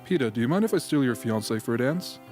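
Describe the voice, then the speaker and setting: A man's voice: mid-range voice, animated, fast talker, darkish tone, lightly acted. Man acting a courtly game official, music behind. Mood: genial, sly. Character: smooth, genial, guarded game official, adult man. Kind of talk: amateur radio drama acting.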